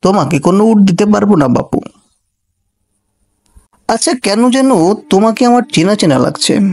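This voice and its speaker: A man's voice: sits high, expressive, normal speed, a little mellow. A man playing an ungrateful, stingy rich man in a cartoon, brusque and grumbling in colloquial speech. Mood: dismissive.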